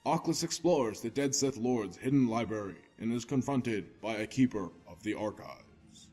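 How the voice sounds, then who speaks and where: A man's voice: mid-range voice, moderate pace, darkish tone, breathy edge, soft background music, lightly acted. A man narrating the introduction to a sci-fi audio drama in a scripted style. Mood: measured.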